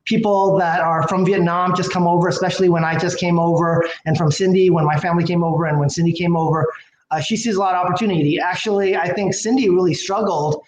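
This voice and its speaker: A man's voice: high-pitched, steady tone, fast. A man who runs a housing company, speaking as an interview guest, enthusiastic and rambling with many fillers and restarts. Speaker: company president and CEO, guest. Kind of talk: casual interview talk. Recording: roomy with faint background noise.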